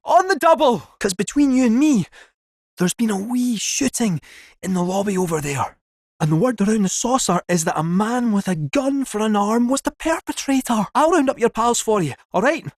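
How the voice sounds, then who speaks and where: A cheeky, chatterbox fortune-telling cat from a video game, with a Scottish accent and a fairly high voice that bounces up and down. He talks in a cheery sing-song sales pitch. The recording is dry and close. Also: expressive, moderate pace, no room sound. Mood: urgent. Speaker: game character. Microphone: close studio mic.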